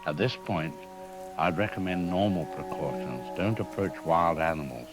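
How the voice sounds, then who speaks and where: A man playing a calm animal behavior expert, explaining things formally and reassuringly in an audio drama news interview. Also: deep voice, moderate pace, music in the background. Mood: cautious, reassuring.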